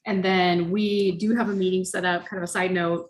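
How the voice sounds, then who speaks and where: A soft-voiced woman with a Midwestern American accent giving a city staff update on development agreements, in a conversational, apologetic way. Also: lowish voice, flat delivery, normal speed, slight background noise, rough recording. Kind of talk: reading a staff report.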